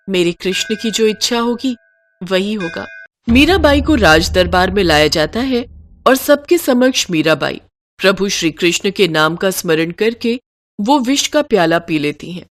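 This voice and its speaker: A woman narrates a scripted devotional tale as a storyteller. Her voice sits at an ordinary middle pitch for a woman.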